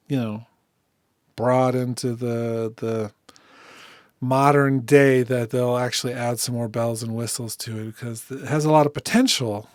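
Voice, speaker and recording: A man giving a casual software demonstration, speaking informally and thinking aloud as he goes. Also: mid-range voice, smooth, no room sound, studio quality. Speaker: music software tutorial host.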